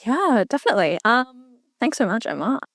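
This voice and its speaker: A woman's voice: a little high, very animated, moderate pace, a bit bright, dry recording, clean studio sound. A young woman with an Australian accent, co-hosting a casual student discussion, friendly and filled with ums. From India. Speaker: co-host.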